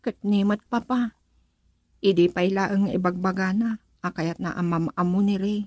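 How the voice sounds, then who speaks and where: A woman's voice: medium pitch, steady tone, moderate pace, a little mellow, no room sound, clean studio sound, lightly acted. A young woman with a Filipino accent acting a hesitant, earnest daughter who is considering marriage in a radio drama.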